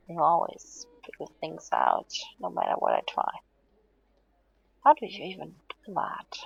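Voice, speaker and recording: A woman playing a weary, stubborn but affectionate hospital patient in an intimate audio roleplay, speaking softly and hesitantly, often half-whispering. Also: on the low side, gravelly, slightly rough, dull audio, exaggerated voice. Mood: sheepish, playful. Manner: hesitant, halting, reassuring, drowsy.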